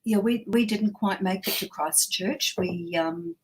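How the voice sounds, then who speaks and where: A woman's voice: mid-range voice, measured pace, poor audio. A woman co-hosting a casual chat, with a mostly Australian accent blended from many places, talking chattily and laughing easily. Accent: Australian mixed with others. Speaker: co-host.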